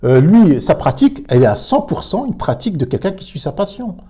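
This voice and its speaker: Husky guy arguing religion, keeps restarting words, warm low tone. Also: very animated, fairly quick. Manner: emphatic, hesitant with many repeats and fillers. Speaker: commentator reacting to a clip. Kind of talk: religious commentary video.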